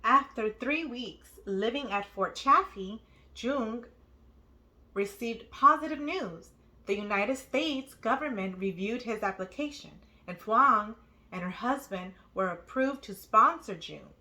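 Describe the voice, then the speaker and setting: A woman narrating a children's storybook aloud, with expressive, deliberate phrasing. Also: on the high side, rough recording. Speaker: children's book read-aloud host.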